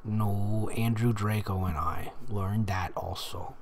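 A man's voice: on the low side, fairly even intonation, slow, a little hiss or hum. A man reading fiction aloud in an amused, sarcastic way, with casual mocking asides. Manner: amused, sarcastic, breaks off to comment. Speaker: host reading fan fiction aloud with commentary.

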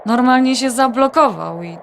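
A woman's voice: a little high, expressive, moderate pace, gravelly, a little hiss or hum. An actress playing a worried, wry adult daughter confiding her troubles in a naturalistic audio drama. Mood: distressed, confiding.

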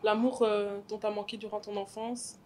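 A young woman guest recounting her story, soft-spoken with many fillers. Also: mid-range voice, flat delivery, moderate pace, a little hiss or hum. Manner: soft, frequent "en fait" and "euh". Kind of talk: personal testimony in interview. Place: hotel lounge, indoors.

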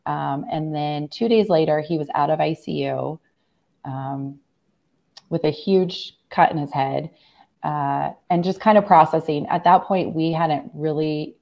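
A middle-aged American woman, hoarse from laryngitis, telling a personal story as a guest in a casual video-call interview. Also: sits low, unhurried, warm tone. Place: room at home, on a video call.

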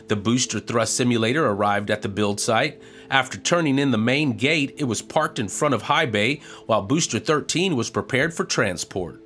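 A man reading a scripted news narration in an even, measured reporting tone as a voice-over. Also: mid-range voice.

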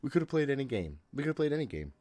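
A man's voice: medium pitch, big pitch swings, rapid-fire, background noise. A man in his thirties giving casual, jittery and exasperated commentary while streaming a horror game from a room at home. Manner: jittery, exasperated self-talk; frequent swearing. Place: small home room, indoors. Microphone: desk microphone, headset worn.

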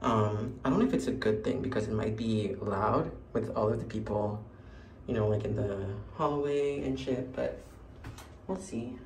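A young man, a French native speaker, rambles through a travel vlog with lots of fillers and self-teasing asides. His voice has a gravelly, fry-tinged edge, and faint music plays underneath. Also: on the low side, normal speed, darkish tone, low-quality recording. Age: young adult. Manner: chatty, rambling, self-mocking, many fillers. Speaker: vlogger. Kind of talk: casual travel vlog chat. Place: hotel room and bathroom, then night street market. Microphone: phone camera at arm's length.

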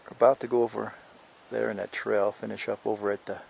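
Gravelly older man rambling on a trail. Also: fairly low pitch, flat delivery, fast, darkish tone, a little hiss or hum. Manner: rambling, joking, many fillers. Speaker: hiker filming a vlog. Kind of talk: casual narration while hiking. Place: outdoors on a mountain trail, windy.

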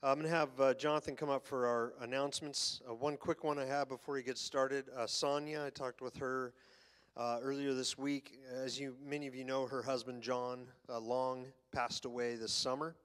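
A man pastor giving church announcements from a stage, halting with many fillers. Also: mid-range voice, level tone, a bit bright, clear, resonant tone. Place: church stage, large room. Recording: long hall reverb.

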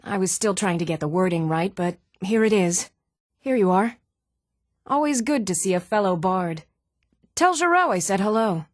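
A woman's voice: medium pitch, expressive, moderate pace, no room sound, clean studio sound, lightly acted. A woman voice-acting a spirited, blunt female bard in scripted video game dialogue. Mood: casual, friendly.

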